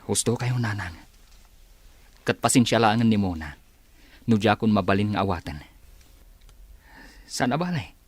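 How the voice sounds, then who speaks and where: A male actor as a protective, reasonable family man in a radio drama. His voice is fairly low and close-recorded, with a measured, firm delivery. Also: lively intonation, slightly rough, dry recording. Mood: earnest, respectful. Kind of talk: Ilocano radio drama acting.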